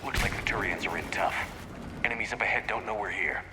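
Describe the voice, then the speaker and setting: A man's voice: lowish voice, quick pace, lightly acted. A man playing a resolute human military commander in a video game, speaking in a terse, commanding way. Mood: commanding, focused. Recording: game vehicle noise behind voice.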